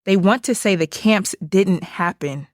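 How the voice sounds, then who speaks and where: A woman's voice: medium pitch, unhurried, no echo, clean studio sound, lightly acted. A woman playing a frank, concerned book puppet in a theatrical puppet show, speaking in an earnest, plain way. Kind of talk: theatrical puppet musical acting.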